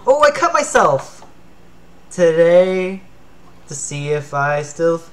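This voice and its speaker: A young woman with a British Columbian accent, co-hosting a live game stream, chatting in an excitable, lively way. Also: lowish voice, slow. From Canada. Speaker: let's play co-host. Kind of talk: casual gameplay chat. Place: small room at home.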